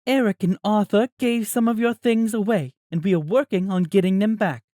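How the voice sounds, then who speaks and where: A man voicing a formal, composed mother in an audiobook, speaking in an even, official manner. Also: medium pitch, normal speed, dry recording, clean studio sound, voice pushed up, natural voice. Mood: formal, firm. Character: formal, composed mother addressing wronged neighbors. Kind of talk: audiobook character voice.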